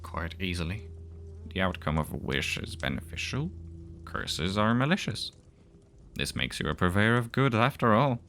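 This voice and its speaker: A man's voice: lowish voice, sing-song, normal speed, a bit bright, pitched above the performer's own voice. A man voicing an uneasy, learned priest who argues in formal, rhetorical phrases for a fantasy horror story. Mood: defensive. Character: Elias, guilty priest, uneasy but argumentative and learned.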